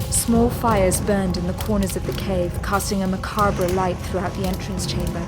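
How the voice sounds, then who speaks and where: Woman narrator over loud dramatic music. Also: medium pitch. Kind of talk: scripted dramatic narration of sci-fi audio drama.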